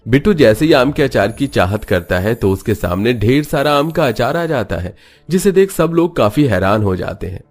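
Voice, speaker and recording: A man's voice: medium pitch, lively intonation, normal speed. A man giving scripted narration for a cartoon moral story. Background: background music.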